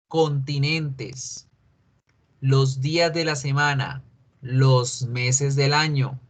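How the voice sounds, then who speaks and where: A middle-aged man with a Colombian Spanish accent, teaching an English class online and mixing Spanish with English as he repeats key points. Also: medium pitch.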